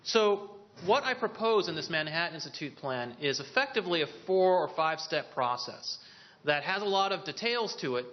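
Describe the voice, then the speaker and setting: A man's voice: fairly high pitch, dull audio. A man presenting a policy proposal at a briefing, explaining points step by step and answering his own questions, with a few restarts. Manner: explanatory, poses questions then answers them; occasional restarts and filler pauses. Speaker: policy speaker on a briefing panel. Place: briefing room.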